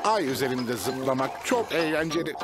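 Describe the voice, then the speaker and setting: A man's voice: medium pitch, lively intonation, normal speed, heavy background noise. A man giving scripted narration for a children's cartoon.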